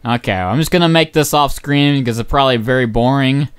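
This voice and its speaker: A young man giving casual, rambling gameplay commentary as a channel host, excitable and swearing often, his voice going hoarse. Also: mid-range voice, fairly quick. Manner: rambling, excitable, swears, many ums and uhs.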